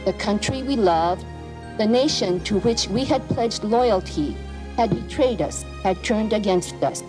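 A woman's voice: mid-range voice, animated, measured pace. A woman, giving formal prepared testimony. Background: music.

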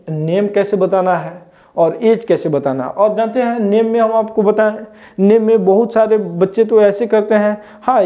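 A young man teaches at a whiteboard, his voice high and mellow. He keeps checking in with viewers through rhetorical questions. The lapel-mic sound is slightly dull. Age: 20s. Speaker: English teacher. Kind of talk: whiteboard lesson. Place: indoor room before a whiteboard.

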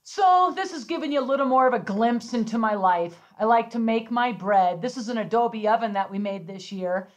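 A middle-aged woman giving an energetic, upbeat home-video ski lesson in her kitchen, demonstrating as she talks. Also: fairly high pitch, normal speed, few pauses, dull audio. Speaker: ski instructor. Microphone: far camera. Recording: echoey kitchen from far off.

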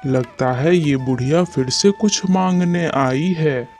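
A man voicing a haughty, suspicious king with a deliberate, imperious manner in a cartoon story. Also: fairly high pitch, a little mellow, soft background music.

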